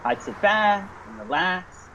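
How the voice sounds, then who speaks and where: A man's voice: high voice, takes it slowly, vocal fry, highs cut off, noisy background, full character voice. A man playing a brash, folksy hitchhiker in an amateur radio thriller, talking bluntly and colloquially. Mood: breezy, flirtatious. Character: brash, folksy, easygoing hitchhiker turning wary.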